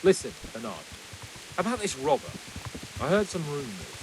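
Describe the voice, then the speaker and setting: A man's voice: a little high, big pitch swings, normal speed, noisy background. An actor playing a proud, headstrong young nobleman in a medieval video game, haughty and insistent. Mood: probing.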